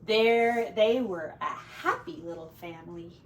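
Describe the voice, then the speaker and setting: A woman teacher reading a storybook aloud to children with expressive, deliberate phrasing and casual asides. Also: mid-range voice, far from the mic, a little hiss or hum.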